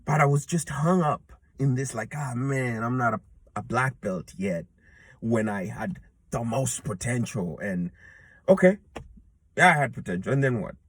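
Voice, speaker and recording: A man's voice: medium pitch, lively intonation, a little slow, breathy edge, no room sound. A man in his thirties talking to himself outdoors in an earnest, rambling way, with many restarts and fillers. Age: 30s. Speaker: jiu-jitsu purple belt vlogger. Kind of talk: reflective casual monologue. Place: outdoors in a public place.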